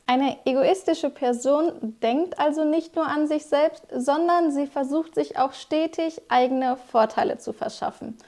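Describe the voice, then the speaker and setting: A young woman with a high-pitched voice gives a scripted language lesson. She explains each word clearly and speaks directly to her viewers, as a teacher would. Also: darkish tone. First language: German. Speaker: German teacher.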